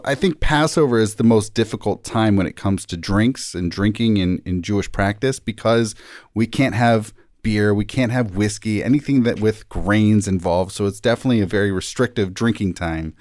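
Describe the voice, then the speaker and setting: A man's voice: lowish voice, moderate pace, a little mellow, no echo, studio quality. A man taking part in a casual panel conversation. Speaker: participant in a panel discussion.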